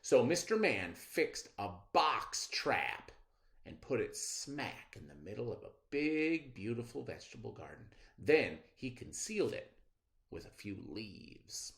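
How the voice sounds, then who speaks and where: A man reading a children's story aloud as a storyteller, with expressive, deliberate phrasing. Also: mid-range voice, creaky.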